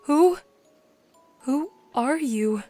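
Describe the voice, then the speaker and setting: A woman playing a hurt, despairing teenage girl in an audio drama, halting and plaintive. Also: sits high, lively intonation, mellow, faint music behind, exaggerated voice. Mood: wary, confused.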